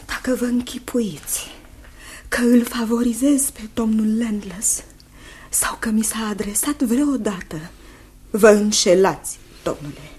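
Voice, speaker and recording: A woman's voice: on the high side, darkish tone, slight background noise, natural voice. An actress in a radio drama playing a frightened but resolute young woman, earnest and theatrical. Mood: indignant.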